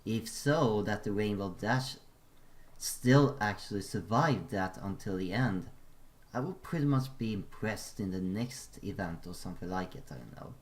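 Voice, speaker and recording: A man giving casual, unscripted reaction commentary as host, in a hesitant, halting and rambling way. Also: lowish voice, animated.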